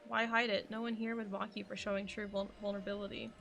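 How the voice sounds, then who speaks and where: A woman's voice: medium pitch, flat delivery, quick pace, creaky, fairly bright tone, faint music behind. A woman streaming a game casually and reading its dialogue aloud.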